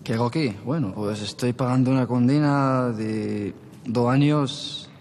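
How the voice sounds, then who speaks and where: A young man from Morocco in his twenties, an inmate, speaks quickly and casually. His voice carries a long reverb with faint background noise. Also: medium pitch, a little mellow. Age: 20s.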